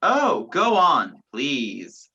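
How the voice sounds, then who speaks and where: A young woman playing a sceptical, gallant gentleman in an amateur radio play, with a theatrical, incredulous manner. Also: sits low, wildly swooping pitch, extremely slow, husky, heavily acted. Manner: incredulous, theatrical, old-fashioned phrasing. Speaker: student actor in a school radio play. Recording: dull lo-fi home recording.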